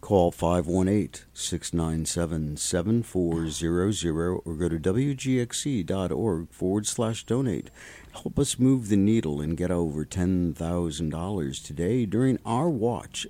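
Guy hosting radio, voice bouncing all over, jokey and quick with the asides. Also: low voice, no echo. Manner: animated, self-deprecating, quick asides. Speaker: radio host. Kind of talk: casual radio chat.

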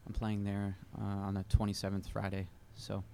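A man from Pennsylvania, a musician guest, answering interview questions in a relaxed, halting way. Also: fairly low pitch, creaky, clean tone. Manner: relaxed, halting, many fillers.

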